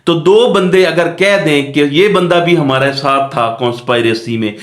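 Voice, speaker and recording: A man's voice: fairly high pitch, normal speed. A man with a Pakistani accent teaching law in a didactic question-and-answer manner, mixing in English legal terms. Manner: didactic, asks rhetorical questions and answers them. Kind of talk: lecture explaining a statute. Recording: echoey room.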